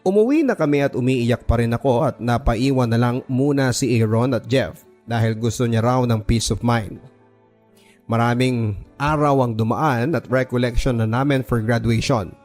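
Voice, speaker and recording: A man's voice: mid-range voice, normal speed, darkish tone. A man narrating a scripted first-person story in a dramatic radio style, with background music.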